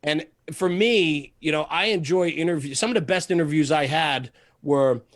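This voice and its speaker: A man with a slightly higher-than-average voice talks casually as an interview guest. He is earnest and emphatic, often repeating phrases for stress. The sound is fairly dry and close. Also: normal speed, no echo. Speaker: podcast guest, fire service media host. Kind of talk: casual podcast interview, passionate monologue.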